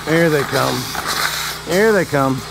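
Folksy man over loud noise. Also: a little high, lively intonation, takes it slowly, creaky. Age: middle-aged. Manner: folksy, unpolished, talking to himself while working. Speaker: wasp removal vlogger. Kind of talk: casual running commentary. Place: open barn and yard outdoors. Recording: loud engine-like vacuum and outdoor noise.

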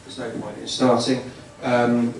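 A man's voice: medium pitch, little pitch movement, measured pace. A man in his thirties doing improvised stand-up crowd work, rambling and exasperated, repeating his phrases. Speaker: stand-up comedian. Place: small indoor comedy club stage. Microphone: handheld stage mic, recorded from room. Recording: echoey comedy club, distant camera, loud crowd noise. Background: audience laughter, noise.

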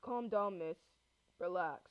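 Woman putting on a low, awkward policeman. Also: takes it slowly, clean tone. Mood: flustered, calming. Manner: hesitant, blunt, full of ums. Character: awkward male police officer and dispatcher. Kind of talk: amateur improvised roleplay drama. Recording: muffled lo-fi audio.

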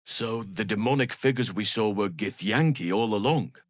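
An actor playing a solemn, gentle male druid of nature in a video game, speaking in a measured, earnest, formal way. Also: on the low side, no echo, clean studio sound, lightly acted. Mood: calm, thoughtful. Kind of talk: dramatic video game dialogue.

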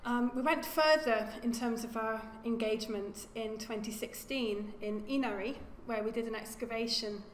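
A woman archaeologist giving an academic lecture at a lectern, speaking in a measured way and often repeating words as she corrects herself. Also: on the high side. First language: English. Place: lecture room. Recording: echoey lecture hall with faint hum.

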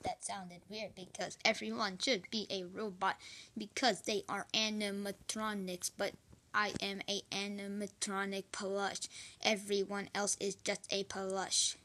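Girl putting on a robot toy voice, flat and literal, homemade recording with some noise. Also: mid-range voice, a little slow, voice pushed down. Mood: matter-of-fact. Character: deadpan robot animatronic plush, literal and matter-of-fact.

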